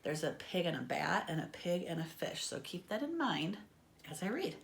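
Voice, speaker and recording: A woman reading a children's story aloud in an expressive, dramatic way, with asides to her listeners. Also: fairly low pitch, moderate pace, gravelly, a little hiss or hum. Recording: echoey, roomy sound.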